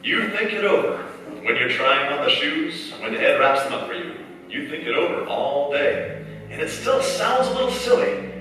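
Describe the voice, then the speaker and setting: Dramatic radio narrator, a needling inner conscience, played by a man: bright, forceful tone, big echoing hall. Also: a little high, very animated, moderate pace. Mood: probing, dramatic. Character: probing second-person narrator, an inner conscience. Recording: echoey hall, far mic, faint noise.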